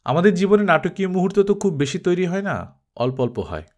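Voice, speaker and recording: A man voicing a detached, philosophical film director in a storybook, questioning and deliberate. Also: high voice, sing-song, a little mellow, voice pushed up. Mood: probing. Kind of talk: Bengali audiobook character acting.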